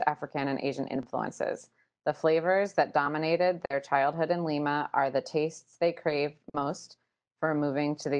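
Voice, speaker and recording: An American woman in her thirties hosting an online panel over a video call, partly reading out introductions through an earbud mic. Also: sits low. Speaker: panel moderator. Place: small room at home, indoors. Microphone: wired earbuds on a video call.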